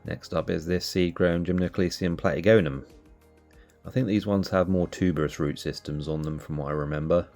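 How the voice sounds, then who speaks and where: A man hosting a cactus channel, sounding nasal from allergies, calmly explaining as he narrates. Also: deep voice, moderate pace, soft background music. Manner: relaxed, explanatory. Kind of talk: casual voice-over narration.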